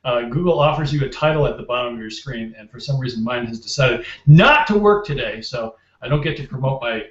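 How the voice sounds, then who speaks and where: A man's voice: medium pitch, expressive, brisk, hardly any pauses. A man co-hosting a show, bantering playfully with sarcasm over a video call. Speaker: co-host. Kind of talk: casual banter. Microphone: video call from a distance. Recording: distant, echoey, muffled call audio.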